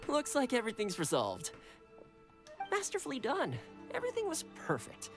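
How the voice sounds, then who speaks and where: Courteous young retainer for a game: admiring, deferential, low-set but highly animated, over music. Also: female voice, normal speed, heavily acted. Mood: admiring, rueful. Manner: deferential, polite. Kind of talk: video game voice acting.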